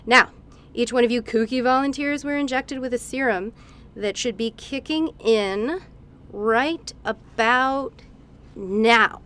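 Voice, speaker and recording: Woman as chirpy, callous high-voiced lab scientist. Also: slow, a bit bright, smooth. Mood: brisk, chirpy. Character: breezy, callous female lab scientist and presenter. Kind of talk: comedic radio theatre acting.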